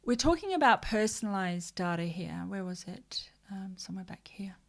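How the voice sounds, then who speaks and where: A woman hosts a live social media news show in a loose, chatty way. Her voice sits at an ordinary middle pitch. She breaks into joking, exasperated rants and mumbles to herself as she searches through her tabs. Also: animated, normal speed, gravelly. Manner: chatty, mutters asides to herself while searching. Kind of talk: casual livestream news commentary.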